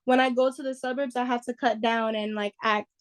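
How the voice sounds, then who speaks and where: Relaxed young woman with a higher voice. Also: brisk, soft background music. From New York. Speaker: guest singer. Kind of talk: casual interview chat.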